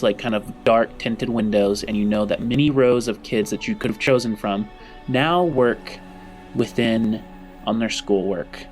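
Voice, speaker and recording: Male game master, medium pitch, unhurried dramatic storytelling with pauses, faint music underneath. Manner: dramatic, deliberate phrasing with pauses. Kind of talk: narrating a tabletop roleplaying game.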